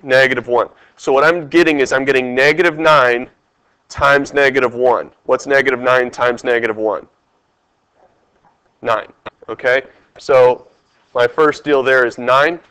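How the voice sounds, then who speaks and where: Congested male teacher, mid pitch, dry close mic, quizzes the class on each step. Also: fairly even intonation, measured pace, pauses often, pushed and bright, smooth, no echo, rough recording. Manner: talks through steps, quizzing the class. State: stuffed up, congested. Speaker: math teacher. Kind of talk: test review lesson over screen capture.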